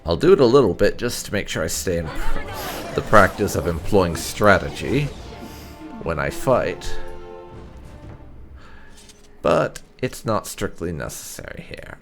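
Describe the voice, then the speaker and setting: Brief: male gaming host, deep gravelly voice, very animated intonation, casual dry-humoured commentary over light music. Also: moderate pace. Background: game music.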